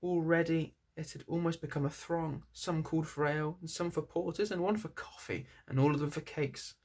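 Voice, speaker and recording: Creaky-voiced male storyteller. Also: fairly high pitch, fairly even intonation, fairly quick, a little mellow. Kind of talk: story narration read aloud.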